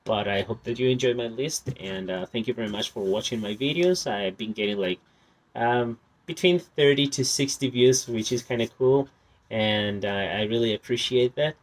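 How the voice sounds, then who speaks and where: A man's voice: mid-range voice, normal speed. A young man whose first language is Spanish, hosting a casual vlog about his game collection and talking in a hesitant way with many fillers. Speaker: channel host.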